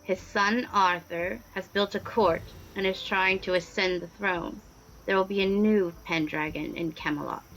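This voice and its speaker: A woman's voice: medium pitch, fairly even intonation, measured pace, fairly bright tone, slight background noise, poor audio. Woman as a cold, ambitious would-be queen. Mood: cold, resolute. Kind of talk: radio play acting.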